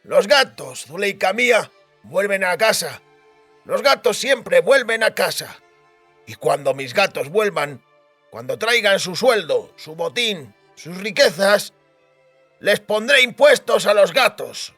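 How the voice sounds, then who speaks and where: A man performs a frail old duke in an audiobook, his voice pitched high. He reminisces fondly about his royal grandfather like a seasoned storyteller, with music playing softly beneath. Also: very animated, takes it slowly, breathy edge, dry recording, heavily acted. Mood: fond, sly. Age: older. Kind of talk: dramatised audiobook character.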